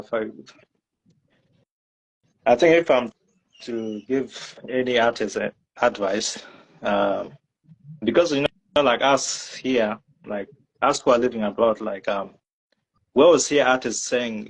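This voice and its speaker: A man's voice: medium pitch, measured pace, long pauses, rough recording. A man from South Sudan answering interview questions casually, hedging with many "like" and "you know" fillers. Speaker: guest.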